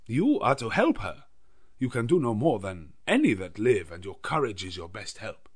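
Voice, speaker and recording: A man's voice: fairly low pitch, big pitch swings, normal speed, slightly rough, pitched above the performer's own voice. Man voicing an emphatic old Dutch professor. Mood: grave, kindly. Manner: emphatic, oddly phrased foreign English. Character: grave, learned old Dutch professor, kindly and commanding.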